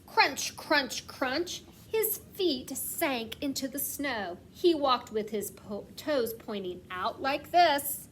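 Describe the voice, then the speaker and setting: A woman reading a picture book aloud like a kindergarten teacher, stressing words expressively and putting questions to the children. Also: high voice. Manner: asks questions and pauses as if children answer; expressive storytelling with slowed, emphasised words. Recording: clear background noise.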